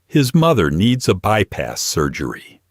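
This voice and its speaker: A commanding mafia boss, as a male narrator plays him, very deep and controlled. He speaks tersely in an audiobook drama, with lively turns of pitch and a dry sound. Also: a little slow, no room sound, full character voice. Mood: businesslike.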